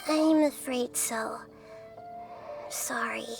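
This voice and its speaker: Childlike goddess, high, thoughtful, careful and slow, gentle, video game. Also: female voice, creaky, soft background music, full character voice. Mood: solemn, apologetic.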